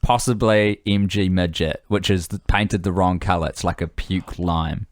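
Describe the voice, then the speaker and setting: A man's voice: low voice, fairly even intonation, normal speed, no echo. A man co-hosting a casual film-review podcast, adding wry, jokey remarks. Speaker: podcast co-host.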